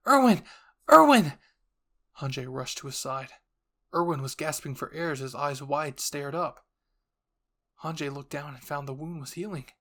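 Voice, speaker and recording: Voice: medium pitch, fairly even intonation, normal speed, a bit bright. Audio roleplay narrator, middle-register voice, scripted storytelling. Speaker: narrator and voice performer. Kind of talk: scripted fan-fiction narration.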